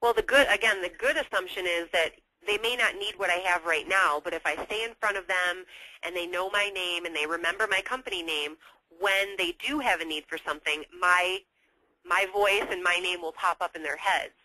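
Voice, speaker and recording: A woman's voice: lowish voice, fairly quick, bright, forceful tone, dry recording, rough recording. An American woman co-presenting sales advice conversationally, in a wordy way, often circling back to rephrase.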